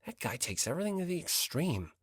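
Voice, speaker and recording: Animated, dry-miked male audiobook narrator. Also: on the high side, quick pace, breathy edge, dry recording. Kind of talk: expressive scripted narration.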